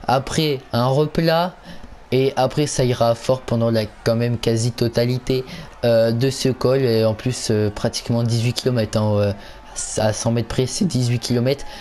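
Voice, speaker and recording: Male gaming host. Relaxed, warm tone, stumbling casual play-by-play, light noise behind. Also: mid-range voice, normal speed. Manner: hesitant, stumbling, repeats himself. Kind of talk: casual live game commentary.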